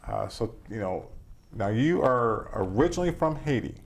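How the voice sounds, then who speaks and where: A man hosting an interview program, who asks questions haltingly with many restarts. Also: medium pitch, animated, creaky. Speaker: host.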